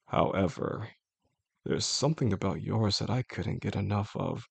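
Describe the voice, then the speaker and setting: A male voice actor playing an obsessive, calmly menacing boss in an intimate audio roleplay, speaking in a slow, deliberate, coaxing way with formal wording and a gravelly, husky voice. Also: lowish voice, dry recording, heavily acted. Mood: brooding, fascinated. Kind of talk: intimate scripted roleplay monologue.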